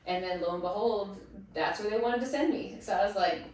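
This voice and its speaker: A woman's voice: medium pitch, a little fast. A woman in her thirties telling stories as a guest in a casual interview, acting out what others said. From Massachusetts. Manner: chatty storyteller, animated quoting of others. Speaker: guest, teacher. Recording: echoey room, distant mic.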